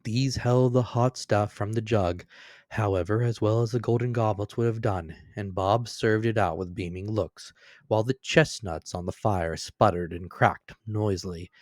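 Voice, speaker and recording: A man's voice: on the low side, moderate pace, dry recording, studio quality. A man narrating a classic story as a dramatic reading, lifting and dropping his voice for emphasis. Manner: expressive, rising and falling for emphasis.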